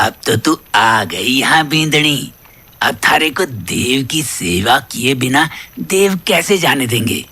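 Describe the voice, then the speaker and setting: An actor playing a menacing, commanding shadow god with a gravelly, raspy voice, in a horror audio drama. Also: male voice, mid-range voice, expressive, pushed and bright, full character voice. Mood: gloating menace. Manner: commanding, slow, gloating. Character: menacing male shadow god with hypnotic sway.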